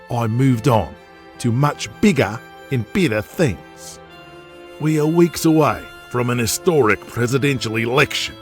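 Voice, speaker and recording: A man's voice: medium pitch, fairly bright tone. A man playing a brash, boastful, profane Australian big-game hunter and media tycoon in an audio drama, with an intimidating manner.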